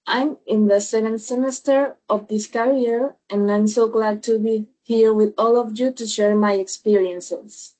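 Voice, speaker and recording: A woman's voice: on the high side, steady tone, measured pace, few pauses, warm tone, low-quality recording. A woman in her early twenties with a Colombian Spanish accent, giving a prepared personal account as a podcast panelist. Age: early 20s. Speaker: podcast panelist, language student.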